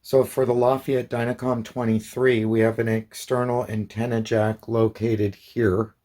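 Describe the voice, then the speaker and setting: Man calmly walking through steps. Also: mid-range voice, unhurried. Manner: plain, step-by-step explaining. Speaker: hobbyist presenter. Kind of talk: hands-on tutorial demonstration.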